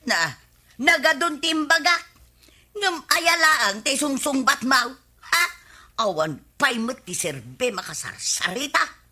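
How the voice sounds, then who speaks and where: A woman's voice: high-pitched, sing-song, slow pace, bright, forceful tone, full character voice. A woman playing a nosy, scheming village gossip in an Ilocano radio comedy drama, with an Ilocano accent and a teasing, needling delivery. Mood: nagging.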